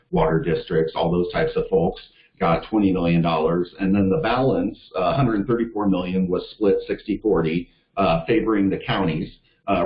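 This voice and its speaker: A man with a very low voice gives an informal, earnest presentation on a conference call. He leans on fillers like "um" and "you know" while pressing his listeners to act. The audio is dull and echoey. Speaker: staff presenter for a league of cities.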